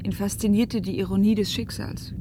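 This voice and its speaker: Actress as earnest woman amid clear background noise. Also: mid-range voice, steady tone, moderate pace, natural voice. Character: earnest, tense woman fugitive aboard an aircraft. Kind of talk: German radio play acting.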